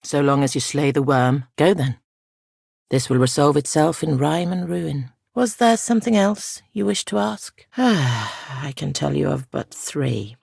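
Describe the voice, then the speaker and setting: Casting: ancient female ghost guardian for a fantasy game. Soft, heavily creaky, solemn and weary, formal diction, very close dry sound. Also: deep voice, no echo, full character voice. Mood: solemn, aloof. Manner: solemn, measured, archaic formal phrasing. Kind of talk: video game character dialogue.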